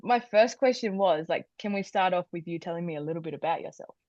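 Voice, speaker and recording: A woman hosting a casual podcast interview, halting and full of fillers as she frames her questions. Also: fairly low pitch, dull audio, over a noisy crowd. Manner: halting, filler-heavy, giggly. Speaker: podcast host.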